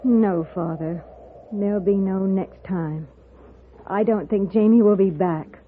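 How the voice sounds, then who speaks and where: An actress playing a devoted young daughter, earnest and emotive, in a 1950s radio western melodrama. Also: female voice, medium pitch, slow pace, close to an everyday voice. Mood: earnest, pleading. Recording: noisy narrow-band old radio recording.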